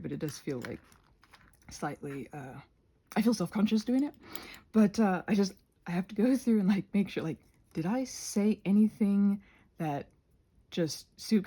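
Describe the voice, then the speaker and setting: A woman talks casually to her viewers as she crafts, in a mellow, slightly husky voice. Her pitch wanders up and down in a lively way. She is halting and self-conscious, often breaking off a thought for an aside in a rambling ASMR style. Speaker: ASMR craft video creator and host.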